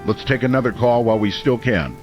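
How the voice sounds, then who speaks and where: A man's voice: mid-range voice, quick pace, natural voice. A man playing a weary, caring late-night radio host in a radio drama, speaking somberly and earnestly. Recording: dull muffled radio sound over music.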